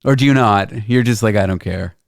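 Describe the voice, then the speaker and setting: A man in his thirties hosting a podcast, chatting casually with teasing, deadpan jokes. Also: fairly low pitch, a little fast, vocal fry, dry recording, studio quality. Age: 30s. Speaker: podcast host. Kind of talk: casual comedic chat.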